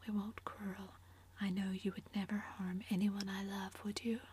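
A woman's voice: mid-range voice, level tone, moderate pace, a little mellow, slight background noise, close to an everyday voice. A woman whispering the part of a young woman in love, eager and fond, in a storybook reading.

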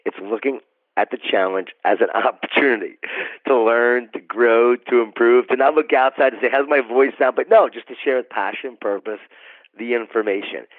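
A man speaking as a motivational guest on a podcast, his voice scratchy and hoarse from allergies, delivering energetic, preachy encouragement. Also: moderate pace, no room sound, narrow, tinny audio. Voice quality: scratchy, deep from pollen allergy.

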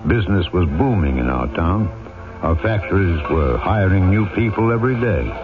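An actor playing a grave, decisive ex-convict turned mayor in a radio drama, measured and authoritative. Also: male voice, deep voice, expressive, narrow, tinny audio, over music. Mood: grave narrating. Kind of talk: radio drama acting with first-person narration. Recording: thin old radio recording.

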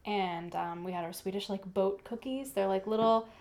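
A young woman co-hosts a relaxed podcast chat. She rambles along in a friendly way, padding her sentences with "like" and "um.". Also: medium pitch, normal speed. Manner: chatty, rambling, full of "like" and "um". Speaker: podcast co-host. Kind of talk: casual chat.

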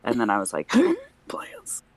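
A man's voice: on the low side, wildly swooping pitch, unhurried, dry recording. A young man co-hosting a podcast in casual chat, rambling with many fillers and nervous laughs. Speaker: podcast co-host.

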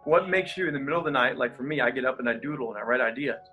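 A man's voice: mid-range voice, very fast, narrow, tinny audio, faint music behind. A man who is a pastor and coach, speaking as an interview guest with energy and easy laughter, who has a stutter. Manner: energetic, animated, laughs easily. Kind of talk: interview guest, casual talk.

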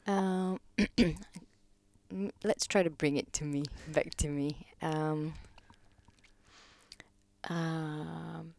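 A woman speaks low and unhurried, her voice carrying a gravelly fry. She reflects on her life as a podcast guest with soft, hesitant pauses. The sound is close and dry. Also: steady tone, no echo. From Malaysia. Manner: slow, hesitant, many pauses and ums, soft-spoken. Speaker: podcast guest, gong practitioner. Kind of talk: reflective personal interview talk.

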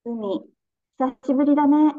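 Soft-spoken young woman, high voice, dull audio. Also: measured pace. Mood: gentle. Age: young adult. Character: Kyoko, young woman leaving for Canada. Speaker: radio drama actress. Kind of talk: slow clear radio drama acting for learners.

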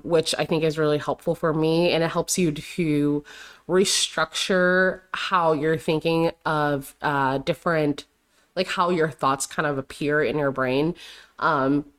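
Low-voiced woman with a slightly raspy voice. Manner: rambling, heavy on fillers and "like". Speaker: podcast host. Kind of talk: casual solo podcast talk.

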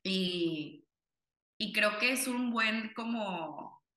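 Bright-voiced woman, rambling and reflective. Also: mid-range voice, expressive, drawn-out words. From Cuba, raised in Monterrey, Mexico. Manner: rambling, filler-heavy, reflective. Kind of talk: casual conversation. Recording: echoey room.

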